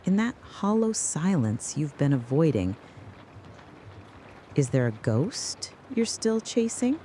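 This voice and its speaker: A narrator delivering a scripted, dramatic late-night soliloquy in a deliberate, brooding way, speaking straight to the listener. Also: mid-range voice, sing-song, mellow, slight background noise. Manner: slow, deliberate, brooding second-person address.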